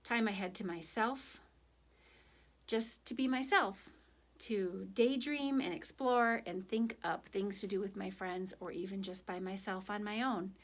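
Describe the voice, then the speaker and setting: A woman reading a picture book aloud and chatting to children, speaking in an expressive, gentle and direct way. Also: mid-range voice, measured pace. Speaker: children's story reader for a church. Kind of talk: picture book read aloud, then informal reflection to children.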